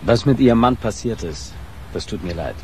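A man's voice: on the low side, lively intonation, moderate pace, background noise, lightly acted. A man playing a polite, probing newspaper reporter in a radio crime drama, asking courteously and a little hesitantly. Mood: polite, apologetic.

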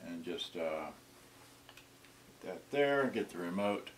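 A man in his sixties talking casually and haltingly, muttering to himself as he demonstrates something to a camera a short distance away in a small indoor room. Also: low-pitched, animated, a little hiss or hum. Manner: halting, muttering to himself while handling items. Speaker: vintage electronics unboxing vlogger. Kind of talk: casual off-the-cuff demonstration talk.

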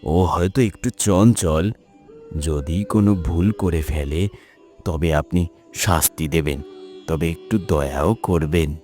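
Man playing a very deep, measured village father. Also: darkish tone, faint music behind. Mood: respectful, earnest. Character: caring village father, respectful and earnest.

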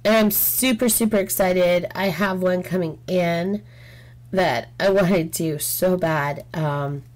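A woman's voice: fairly low pitch, steady tone, takes it slowly. A woman chatting casually while she works on a craft, frank and rambling with lots of "um"s, her throat getting sore. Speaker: craft channel host. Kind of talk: casual chat while diamond painting. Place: home craft table, indoors.

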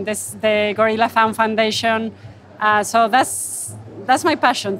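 Woman with a higher-pitched voice. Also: a bit bright, slight background noise. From Argentina. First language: Spanish. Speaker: wildlife photographer interviewed at her exhibit. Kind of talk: unscripted interview monologue. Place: gallery booth at an indoor art fair. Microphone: handheld microphone.

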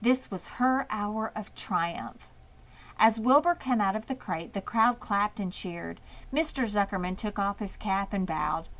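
A woman's voice: mid-range voice, unhurried, fairly bright tone, low-quality recording. A woman narrating a children's book as a scripted read-aloud. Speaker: storybook reader.

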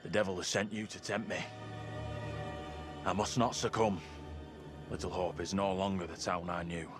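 A man acting as a young villager of colonial times, devout and torn, in a video game drama. His voice sits low and his speech is formal and archaic, over clear background music. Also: level tone, moderate pace, lightly acted. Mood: defiant.

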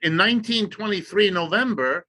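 A man's voice: high voice, normal speed, sharp tone. An older man, an economist guest, lecturing emphatically from a home study over a video call and gesturing as he talks. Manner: emphatic, lecturing, gestures animatedly with his hands. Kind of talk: impassioned explanatory commentary.

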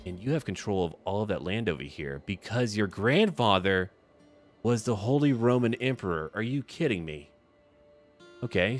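A man giving casual, in-character commentary on a strategy game, thinking aloud in short remarks as if talking to the characters. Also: deep voice. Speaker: gaming video host. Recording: music behind the voice. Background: background music.

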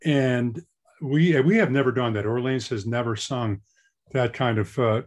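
A male musician with a low voice speaks thoughtfully in an interview, pausing and searching for words. His tone stays even and unhurried. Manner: reflective, hesitant. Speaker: musician guest. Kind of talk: casual interview chat.

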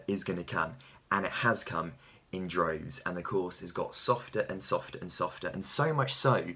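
Deep, bright-voiced male racing tipster. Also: slightly rough. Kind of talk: racing tips to camera. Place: small home office, indoors.

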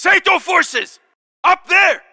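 An actor playing a fierce enemy soldier yelling battle calls in a military game. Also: male voice, extremely high pitch, animated, slow, exaggerated voice. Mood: fierce, urgent. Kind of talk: military game combat barks.

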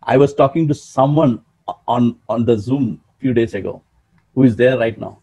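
Older guy preaching over a webcam, kinda husky, fired up, keeps calling out "hey hey". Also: medium pitch, moderate pace. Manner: emphatic, animated, gesturing, calling out to listeners. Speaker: pastor. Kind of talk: sermon. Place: small room indoors.

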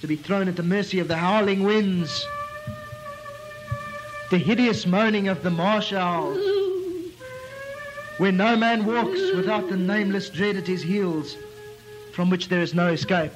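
Man acting a high-voiced, portentous, suspicious brother. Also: a little slow, long pauses, over loud music, poor audio. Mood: accusing. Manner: ominous, portentous, declamatory. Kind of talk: comic melodrama radio play acting.

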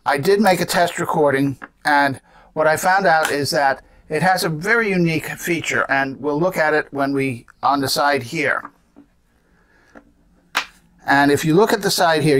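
An elderly man in his seventies with a slightly high voice talks through a vintage gadget demonstration. He explains things off the cuff, often asking a question and then answering it himself. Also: a little slow, a bit bright. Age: 70s. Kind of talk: unscripted product demonstration.

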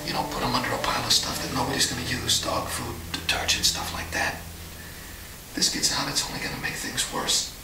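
A man playing an uneasy small-town war veteran in a radio drama. He speaks in a gravelly, hushed, halting voice, as if sharing secrets. The hissy tape sounds roomy and distant. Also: mid-range voice, flat delivery, close to an everyday voice. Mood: grim, secretive. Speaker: actor in an audio drama. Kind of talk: naturalistic radio drama acting.

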